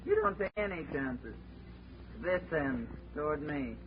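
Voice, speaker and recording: Brassy, swooping tough auntie, muffled. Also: female voice, sits low, lively intonation, unhurried. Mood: defiant. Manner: sarcastic, blunt, defiant. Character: brassy, tough-talking carnival auntie with a gun. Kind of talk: old-time radio drama acting. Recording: muffled, noisy vintage radio broadcast.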